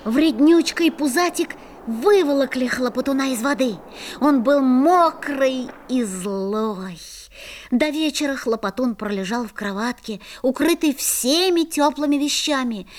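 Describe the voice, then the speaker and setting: A woman narrating a children's fairy-tale audio play in an expressive storybook style. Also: high voice, measured pace, a little hiss or hum.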